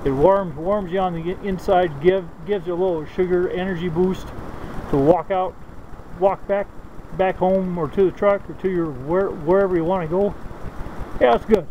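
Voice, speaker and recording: Higher-voiced man vlogging in snowy woods. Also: fairly even intonation, lo-fi sound, slight background noise. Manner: halting, repeats words often. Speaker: outdoors vlogger. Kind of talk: casual bushcraft walkthrough. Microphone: handheld camera at arm's length.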